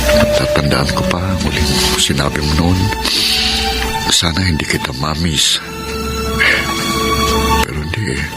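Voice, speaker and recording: A man's voice: extremely low pitch, exaggerated voice, low-quality recording. A man acting in a Filipino radio drama as a devoted widowed father, earnest and sentimental, tender in his grief. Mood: grieving, longing. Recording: music bed under the voice.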